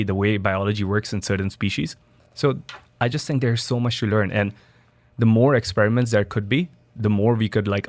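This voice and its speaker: A man's voice: fairly low pitch, no echo. A man from Dubai, a studio guest, answering interview questions in a loose, conversational way with restarts and fillers. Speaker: studio guest, biohacking entrepreneur.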